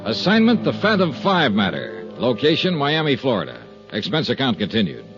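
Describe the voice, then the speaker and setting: An American actor playing a shrewd, tough insurance investigator in a 1950s radio drama, with a clipped, probing, coolly polite delivery. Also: male voice, fairly low pitch. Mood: brisk, businesslike. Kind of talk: 1950s radio detective acting, narration and dialogue. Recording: muffled 1950s radio recording.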